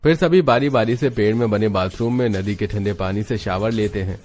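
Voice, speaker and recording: कहानी सुनाता गहरी आवाज़ वाला पुरुष. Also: male voice, lowish voice, a little fast, darkish tone. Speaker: narrator. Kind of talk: scripted cartoon story narration.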